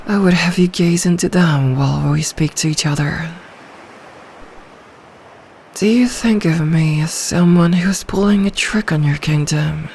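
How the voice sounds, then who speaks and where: A woman playing an ancient, possessive sea dragon in human form for an ASMR roleplay, speaking in a regal, seductive, deliberate manner. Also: deep voice, gravelly, darkish tone, a little hiss or hum. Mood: sultry, probing.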